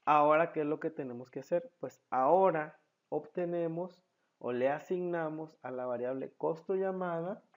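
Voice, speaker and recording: Male tutorial instructor, slightly high voice, didactic question-and-answer delivery, muffled lo-fi sound. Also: moderate pace. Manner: didactic, poses questions and answers them, checks with "ok". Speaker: programming instructor. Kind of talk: step-by-step screen tutorial narration.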